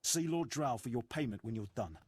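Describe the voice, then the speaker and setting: An actor playing an ambitious, resentful military sergeant in a video game, formal, clipped and self-important. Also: male voice, mid-range voice, animated, a little fast, slight background noise, lightly acted. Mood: bitter, resigned. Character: ambitious, resentful, impatient male military sergeant of an evil empire.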